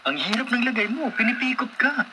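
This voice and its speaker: An actor playing a gossipy, sympathetic young buddy in a radio drama, exclamatory and colloquial. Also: male voice, very high-pitched, big pitch swings, brisk. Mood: astonished, sympathetic. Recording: noisy radio broadcast.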